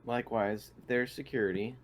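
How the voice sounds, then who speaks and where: A man's voice: medium pitch, steady tone, normal speed, close to an everyday voice. A man playing a polite, literal-minded android in a tabletop roleplaying game, speaking in formal, wordy, clinical phrases. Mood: clinical, measured. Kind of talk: in-character tabletop roleplay over online game.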